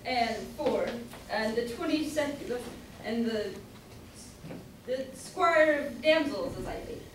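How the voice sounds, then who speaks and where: A woman acts a knight's deferential, muddled dwarf attendant in a stage comedy. The voice is high. It is heard from afar in a noisy, echoey room. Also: expressive, takes it slowly. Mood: deferential, halting. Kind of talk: amateur staged reading of Jacobean comedy. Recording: echoey room from far off, background noise.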